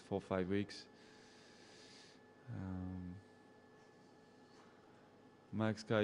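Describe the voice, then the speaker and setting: Low-voiced man with a French accent. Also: vocal fry, slight background noise. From French-speaking. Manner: relaxed and chatty, laughs often, answers viewers by name. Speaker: safari guide presenting a live chat. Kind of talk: casual live chat reading viewer comments.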